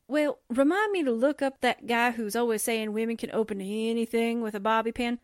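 A woman's voice: on the high side, brisk, dry recording, clean studio sound, lightly acted. A woman playing a plucky but jittery newspaperwoman sidekick, slangy and wisecracking, in 1940s radio drama style. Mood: exasperated. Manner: wisecracking, blustering, folksy.

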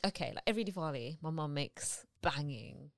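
A woman's voice: low voice, fairly quick, no room sound, studio quality. A woman co-hosting a casual podcast, talking with enthusiasm and plenty of fillers. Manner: animated, enthusiastic, lots of likes and laughs. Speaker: podcast co-host. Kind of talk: casual chat.

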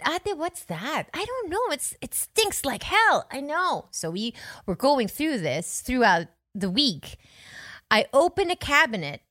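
Lively Filipina woman telling stories. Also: high voice, dry recording, studio quality. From Philippines. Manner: animated storyteller, laughs often, mixes English and Filipino. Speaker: podcast co-host. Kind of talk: casual chat.

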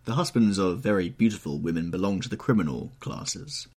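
A man as a suave, cynical aristocrat, lively inflection. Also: low voice, fairly quick. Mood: mock serious. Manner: polished, epigrammatic, suave. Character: urbane, cynical witty aristocratic gentleman. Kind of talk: audiobook character voice.

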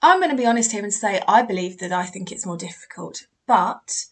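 A woman's voice: medium pitch, expressive, brisk, slightly rough. Chatty British woman podcast host. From Britain. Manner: chatty and apologetic, self-deprecating. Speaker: podcast host, mediumship teacher. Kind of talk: casual podcast monologue answering a listener.

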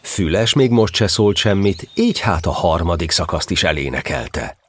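A man with a husky voice narrating a scripted children's story for an audio drama. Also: low-pitched, animated, over music.

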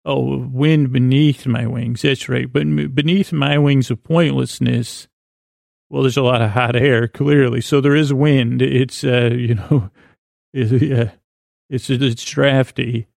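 A man hosting a sleep podcast with a meandering, halting, whimsical bedtime monologue in a gravelly, creaky voice. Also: mid-range voice, steady tone, darkish tone, no echo, studio quality. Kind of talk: rambling improvised bedtime monologue.

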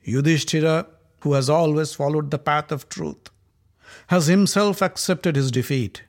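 An old elder speaks low and quiet, measuring each word with grave hesitation, as a storyteller acts him. His voice drops beneath the narrator's own. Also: close to an everyday voice. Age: older. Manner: soft, slow, measured.

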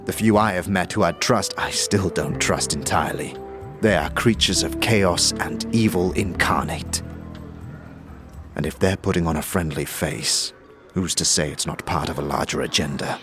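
A man's voice: low-pitched, normal speed, natural voice. A man playing a world-weary, grave traveler in a fantasy audio drama, speaking formally and ominously. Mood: grave warning. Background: prominent background music.

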